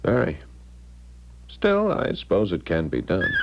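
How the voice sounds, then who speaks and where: Deep-voiced, insistent young American man. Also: wildly swooping pitch, measured pace, heavy background noise, full character voice. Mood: teasing. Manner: direct, teasing, pressing. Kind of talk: 1970s radio drama acting. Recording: dull 1970s radio broadcast recording.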